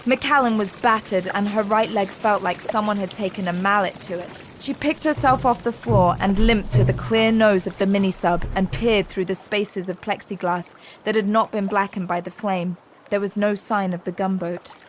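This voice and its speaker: A woman's voice: mid-range voice, normal speed, a little hiss or hum. A woman reading scripted, dramatic third-person narration for an audio drama. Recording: loud action sound effects behind.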